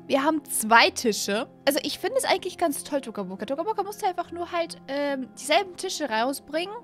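High-pitched, animated young woman gamer. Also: a little fast, faint music behind. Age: young adult. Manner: gushing, exclaiming, repeats phrases; mixes in English catchphrases. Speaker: YouTube gaming host. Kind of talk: excited play-along game commentary. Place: small room at home, indoors. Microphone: desk microphone up close.

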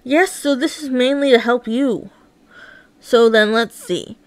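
A woman performs a comic read-along, voicing all the parts and effects herself. Her voice is fairly high and her pace is slow and deliberate. The recording is close and dry. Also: smooth, no echo. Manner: acts out every character and effect herself. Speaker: narrator reading a comic aloud.

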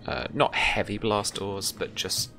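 Young man, game master, low voice, unhurried halting narration, music clearly behind. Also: little pitch movement, bright, forceful tone. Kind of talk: narrating a tabletop role-playing game. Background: background music.

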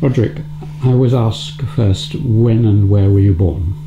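Low gravelly interviewer, off-mic and roomy. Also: male voice, unhurried, music in the background. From Scotland. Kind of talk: oral history interview questions. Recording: roomy, dull, noisy off-camera audio.

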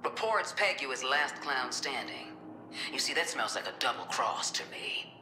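An actress playing a commanding, suspicious intelligence director in a video game, with a blunt, impatient, authoritative delivery. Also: female voice, extremely low pitch, expressive, normal speed, echoey room, noisy background. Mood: stern. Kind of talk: dramatic video game voice acting.

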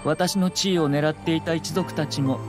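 Anime game aristocrat swordsman, played by a man: youthful, higher register, courteous, cool, condescending, over loud music. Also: fairly even intonation, fast, natural voice. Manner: formal, polite, coolly condescending. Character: elegant, unruffled young noble swordsman. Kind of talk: Japanese anime game trailer acting. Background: very loud trailer music.

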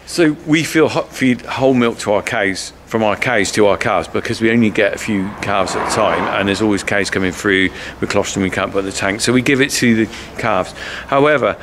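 A man's voice: on the low side, brisk, few pauses. Chatty man in a noisy warehouse. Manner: chatty, curious, asks lots of questions. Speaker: farmer and vlogger touring a store. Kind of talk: casual chat. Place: large indoor warehouse with shelving. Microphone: camera mic, handheld close-up. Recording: warehouse with clear background noise.

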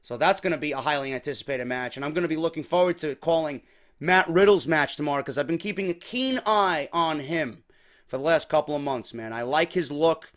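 A young man, his voice slightly worn, hosting a casual wrestling talk show from a small home room on a desk microphone, energetic and chatty. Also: on the high side, brisk. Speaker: podcast host. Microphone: desk mic on boom arm.

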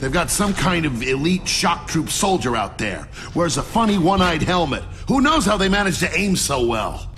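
An actor playing a dry, sardonic army lieutenant recounting battles in a video game. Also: male voice, on the high side, sing-song, normal speed, few pauses, noisy background. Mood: wry narration. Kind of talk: video game acting and narration. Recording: game music behind.

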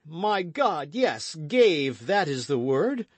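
A man's voice: on the high side, very animated, slow, no room sound, pitched above the performer's own voice, full character voice. A man voicing a boastful, hearty gourmand musketeer with a grand, exclamatory delivery in a storybook reading. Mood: blustering, self-justifying.

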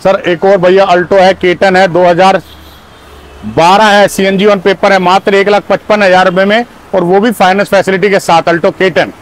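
A middle-aged man with a fairly high voice, selling used cars in a punchy, energetic pitch. He keeps addressing the listeners as his brothers. He is recorded outdoors on a car lot with a clip-on lapel mic. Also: normal speed, poor audio. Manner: punchy, repeats "my brothers" often.

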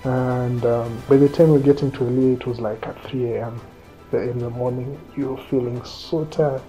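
Kenyan man, thirties, interview storyteller, relaxed dark tone over light background music. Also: medium pitch, moderate pace. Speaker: interviewee recounting his own trip. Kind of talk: conversational storytelling to camera. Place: small quiet indoor room, seated. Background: faint music.

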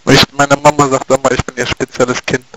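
A man's voice: mid-range voice, little pitch movement, fast talker, a bit bright, low-quality recording. A German man joking around in game chat with playful mock announcements. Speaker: gamer. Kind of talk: joking gameplay chat through an in-game megaphone.

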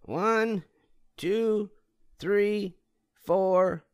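A man's voice: high voice, fairly even intonation, clean tone, no room sound, clean studio sound, voice pushed up, full character voice. A man voicing a curious, cheeky young boy bear cub in a storybook reading. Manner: quick wisecracking kid voice. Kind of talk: storybook read-aloud.

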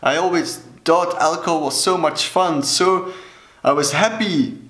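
A Belgian man in his thirties speaks English with a Dutch accent, slow and deliberate, in a fairly high voice. He talks earnestly straight to camera in a small room that echoes. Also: a bit bright. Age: 30s. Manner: slow, deliberate, gestures with both hands. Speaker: alcohol coach. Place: small room indoors, plain wall. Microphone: camera mic, head and shoulders.

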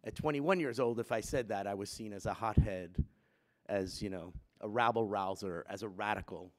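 Low-voiced man in his fifties speaking in chapel. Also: normal speed. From Puerto Rico. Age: 50s. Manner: conversational, many fillers, grows emphatic. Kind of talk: personal talk to students. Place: wood-panelled school chapel, students seated behind. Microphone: gooseneck lectern mic.

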